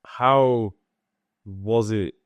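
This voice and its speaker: A man's voice: fairly low pitch, extremely slow, no echo, muffled recording. A man hosting a podcast, reading a scripted intro and asking casual questions full of "like" fillers. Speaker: podcast host.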